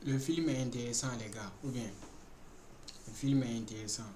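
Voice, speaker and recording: A man's voice: mid-range voice, moderate pace. A man hosting a live stream, casually addressing viewers and repeating himself. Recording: roomy, noisy, distant streamer microphone.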